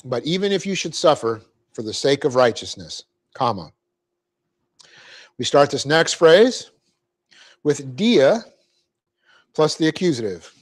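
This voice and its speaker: A man teaching a Bible class, explaining Greek grammar step by step, spelling out words and clearing his throat often. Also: fairly high pitch, sing-song, a little slow, long pauses. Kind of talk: verse-by-verse Bible class lecture.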